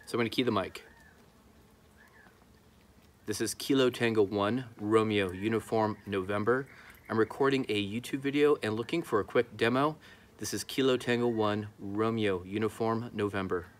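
A man's voice: on the low side, fairly even intonation, moderate pace. A man in his thirties talking casually to camera, explaining his hobby as a video host outdoors. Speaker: YouTube host, amateur radio hobbyist. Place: outdoors in a backyard.

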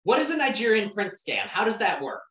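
A woman's voice: medium pitch, very animated, fairly quick, fairly bright tone. A woman teaching an online class in a casual, conversational way, often asking the students questions. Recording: roomy, distant from the mic.

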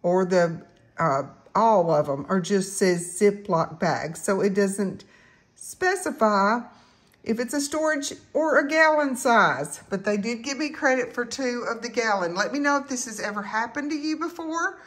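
Slow-talking woman, casual and halting. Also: medium pitch, expressive. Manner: halting, rambling. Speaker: couponing vlogger. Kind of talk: casual chat to viewers. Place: indoors at home.

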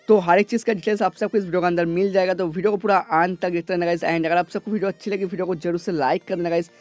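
A hoarse young man, a gaming YouTuber talking casually and rambling, filling every pause with "guys". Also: a little high. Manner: repeats "guys" constantly, rambling filler-heavy delivery. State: hoarse from a cold. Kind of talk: casual screen-recorded gaming update.

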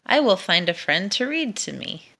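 Woman voicing an eager, animated young storybook dragon. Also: mid-range voice, moderate pace, gravelly, heavily acted. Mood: hopeful. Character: eager, book-loving young male dragon, polite and pleading. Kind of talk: children's picture-book read-aloud.

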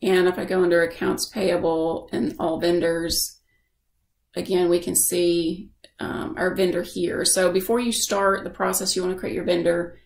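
A woman giving a casual software tutorial as a screen-share voice-over, explaining informally and thinking aloud. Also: lowish voice, little pitch movement, normal speed, creaky, roomy sound. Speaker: software instructor.

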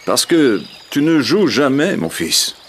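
A man's voice: medium pitch, big pitch swings, takes it slowly, noisy background. A man playing a regal middle-aged king and concerned father in a French cartoon dub, speaking formally and emphatically. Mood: fatherly, instructive. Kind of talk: French animated film dub.

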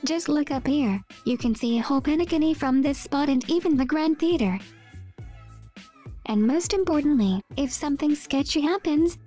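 A woman's voice: high-pitched, mellow, lightly acted. A woman voicing a cocky, protective cowboy gunslinger with a casual, folksy swagger. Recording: music behind the voice.

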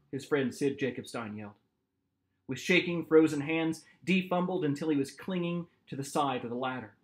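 A man in his forties reading a novel aloud as its author, with expressive, dramatic narration. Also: fairly high pitch. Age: 40s. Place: small home office, indoors.

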